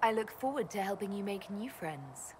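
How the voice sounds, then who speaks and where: A woman's voice: medium pitch, big pitch swings, normal speed, a little mellow, slight background noise, natural voice. Woman as a wry elven mage, long reverb. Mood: curious, teasing. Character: young female elven mage, wry and curious. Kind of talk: video game dialogue acting.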